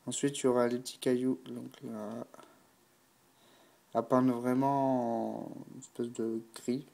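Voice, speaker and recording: A man's voice: medium pitch, level tone, takes it slowly, gravelly, dark tone. A man with a stuffy, blocked nose from a cold, unhurriedly talking through a painting tutorial and thinking aloud with many fillers. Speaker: miniature painting hobbyist.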